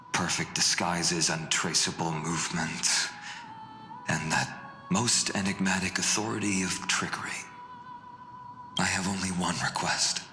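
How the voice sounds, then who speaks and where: An actor playing a calm young heroic leader in a game, speaking in a measured, formal, earnest manner. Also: male voice, low-pitched, exaggerated voice. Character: calm young male hero, earnest, courteous campaign leader. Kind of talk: dramatic game voice acting. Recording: echoey reverberant hall, music behind.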